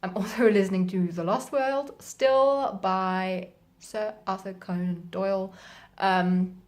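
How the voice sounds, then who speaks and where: A woman's voice: mid-range voice, steady tone, takes it slowly, a little mellow. A woman chatting casually as a book vlogger, in an informal, self-deprecating way. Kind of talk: casual chatty reading vlog.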